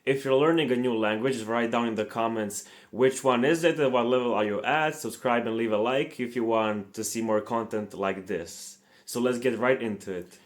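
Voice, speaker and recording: A man's voice: medium pitch, moderate pace, few pauses. A young man from Montenegro, in English, hosting a scripted, earnest explainer on learning languages. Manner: earnest, plain, slightly formal non-native phrasing. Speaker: language-learning channel host.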